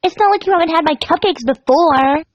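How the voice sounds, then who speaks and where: A woman's voice: extremely high pitch, fairly quick, voice pushed up. A woman voicing a perky, childish female cartoon pony with a chatty, pouty manner and a cruel streak. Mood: casual, chatty. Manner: chatty, pouty, scolding, casual about cruelty. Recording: dull, echoey home recording.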